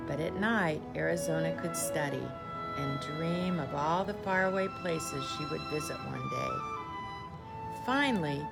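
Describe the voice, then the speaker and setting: Female storybook reader, low register with gravelly creak, deliberate phrasing, clear music bed underneath. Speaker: teacher reading a picture book aloud. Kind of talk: storybook read-aloud.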